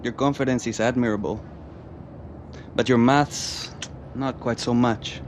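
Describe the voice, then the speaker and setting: A man's voice: mid-range voice, fairly even intonation, unhurried, noisy background, natural voice. An actor playing a dry, pedantic, sardonic man with precise, formal speech in a football game. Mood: dryly sardonic. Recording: game audio under clear music.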